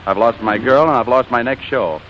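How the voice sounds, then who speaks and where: An actor playing a brash, wisecracking American show producer and scheming boss, in 1940s radio comedy style. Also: male voice, mid-range voice, brisk, a bit bright, a little hiss or hum, close to an everyday voice. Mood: dejected, brisk. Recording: muffled old radio broadcast, background music.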